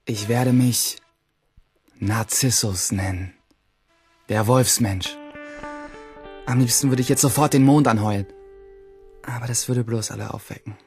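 A man's voice: medium pitch, steady tone, a little slow, pauses often, darkish tone, slightly rough, soft background music. Grandiose teenage nerd boy. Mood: scheming, boastful. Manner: self-aggrandizing, dramatic. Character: self-inventing teenage boy nerd, grandiose, self-mocking loner. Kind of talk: first-person radio play monologue.

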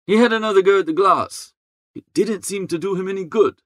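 A man playing a good-natured, easily flustered young upper-class idler who narrates an audiobook in a chatty, slangy, expressive way. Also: high voice, brisk, no room sound, studio quality, lightly acted. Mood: rueful narrating. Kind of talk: first-person audiobook narration.

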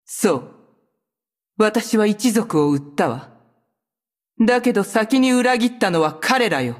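A proud sorceress of a cursed clan in a video game. Her voice is husky and breathy, and she speaks slowly, with cold, haughty menace. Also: medium pitch, animated. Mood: cold, scornful. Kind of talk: video game character voice lines in Japanese.

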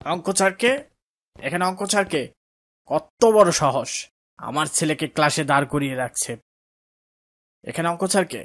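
An arrogant councillor in a children's cartoon, acted by a man. His voice sits a bit high for a man. He scolds and threatens like someone used to being obeyed. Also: lively intonation, moderate pace, long pauses, fairly bright tone. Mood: outraged. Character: arrogant, bullying local councillor and angry father. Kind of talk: cartoon dialogue acting.

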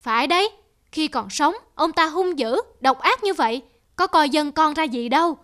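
A woman's voice: sits high, expressive, moderate pace, a bit bright. A woman voicing an aggrieved poor villager in a cartoon folktale, bitter and complaining. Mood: bitter relief. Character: aggrieved poor male villagers under a tyrant.

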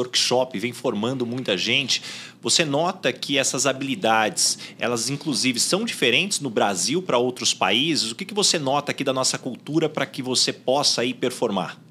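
Male host in his forties, measured interviewer, sharp tone, studio mic. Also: mid-range voice, slight background noise. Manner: measured, asks long framed questions. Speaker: podcast host. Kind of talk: interview questions. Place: podcast studio with brick wall. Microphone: studio mic on boom arm.

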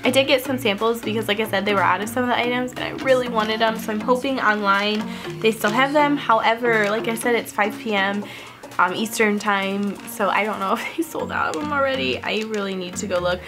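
A woman's voice: a little high, a little fast, over music. A woman in her twenties, a beauty vlogger chatting casually to the camera in a house hallway, her voice scratchy and stuffy from a cold, laughing often. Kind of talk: casual haul vlog. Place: upstairs hallway of a house, indoors. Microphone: camera-mounted mic, seated close to the camera.

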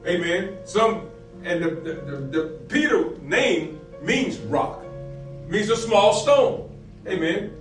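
A man's voice: high-pitched, expressive, slow pace. A middle-aged man preaching a sermon as a pastor, asking and answering his own questions, repeating phrases and punctuating them with amens. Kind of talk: sermon, preaching with scripture readings. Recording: roomy, distant mic, faint music behind.

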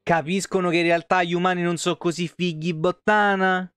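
A man streams a game in a fairly high, smooth voice. He talks colloquially, grumbles and swears freely, recorded close and dry. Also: little pitch movement, brisk, fairly bright tone, dry recording. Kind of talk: casual live game commentary. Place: small indoor room.

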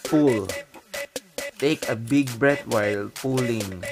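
A man's voice: medium pitch, slow. A man in his twenties with a Filipino accent giving step-by-step workout instructions in short phrases as a fitness coach. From Philippines. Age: 20s. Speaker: fitness coach and video host. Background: music.

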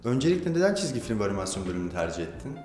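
A man's voice: fairly low pitch, sing-song, racing, darkish tone. A man putting scripted questions as an interviewer. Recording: roomy echo with music behind. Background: background music.

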